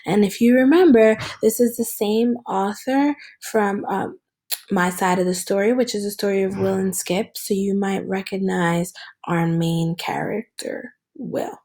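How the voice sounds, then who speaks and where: Female teacher, mid-pitched, reading aloud and explaining, speaking directly to students with guiding questions. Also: steady tone, a little slow, mellow. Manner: instructional, addresses listeners with check-in questions. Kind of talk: read-aloud lesson with think-aloud commentary.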